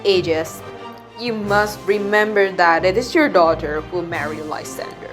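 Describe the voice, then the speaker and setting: A woman playing a measured, deliberate male duke in an amateur radio drama, with some hesitation. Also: lowish voice, music in the background, lightly acted. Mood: measured, judging. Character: calm male duke, ruler and judge, measured.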